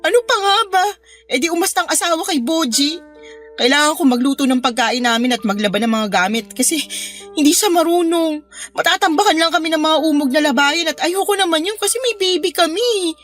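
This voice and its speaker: A young woman playing an exhausted, frustrated new mother in an audio drama, speaking in a weary, emotional, halting way. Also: sits high, a bit bright, soft background music. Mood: weary, aggrieved.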